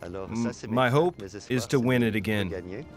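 A man in an interview, speaking in a calm, thoughtful, slightly wistful way. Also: medium pitch, slow, over music. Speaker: musher. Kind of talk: reflective interview.

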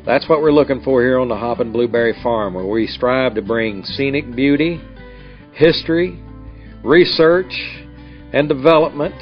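A man's voice: medium pitch, normal speed. A middle-aged American man narrating a tour as a farm guide. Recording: dull lo-fi sound, guitar music behind. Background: acoustic guitar music.

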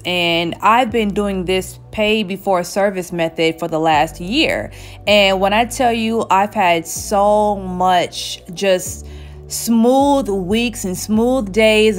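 A woman in her thirties, a hairstylist, chatting casually while seated in her small salon, telling a story with lots of laughs. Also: mid-range voice. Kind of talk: casual storytime while getting a wig installed. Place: small indoor hair salon. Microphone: camera at chest height, seated facing it.

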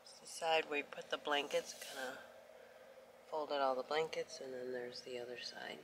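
A middle-aged woman chatting in a casual travel vlog, filmed on a phone held at arm's length, switching between hushed asides and excited bursts. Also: extremely low pitch, normal speed, a little hiss or hum, poor audio. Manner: chatty, excitable, shifts between hushed whispers and loud exclamations. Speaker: vlogger. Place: inside a minivan, then outdoors. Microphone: phone camera at arm's length.

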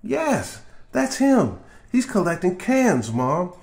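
A man voicing an eager, earnest young boy for a storybook reading, excited and pleading. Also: sits high, takes it slowly, gravelly, voice pushed up, exaggerated voice. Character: eager young boy learning guitar, pleading with his mom.

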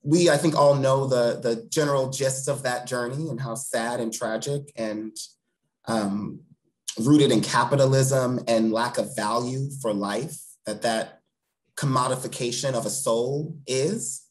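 A man's voice: mid-range voice, measured pace, rough recording. A man in his thirties speaking earnestly and eloquently as an interview guest on a video call from a room at home. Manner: earnest, eloquent, emphatic repeated phrasing. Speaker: theatre director, interview guest. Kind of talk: thoughtful interview talk.

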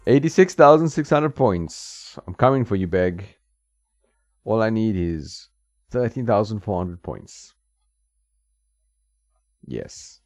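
A man's voice: fairly low pitch, animated, slow, long pauses, darkish tone, dry recording. A man giving casual gameplay commentary, often laughing and talking back to the characters on screen. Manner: chuckling, talks back to the game characters. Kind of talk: casual let's-play commentary. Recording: slightly dull lo-fi mic with game music.

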